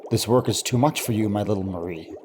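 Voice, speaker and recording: An actor plays a devoted scientist husband in a vintage-style radio play. His voice is mellow and dark, with a gentle, sober manner. Background ambience and noise are audible behind him. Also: on the low side, fast talker, close to an everyday voice. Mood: concerned, tender. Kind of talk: old-time radio drama acting.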